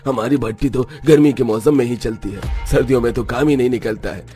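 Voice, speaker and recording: A defensive village kiln worker played by a man in a cartoon moral tale. He speaks plainly and protestingly at a medium pitch, with music behind. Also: fairly quick, mellow, lightly acted. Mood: indignant. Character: defensive, poor male brick-kiln labourer.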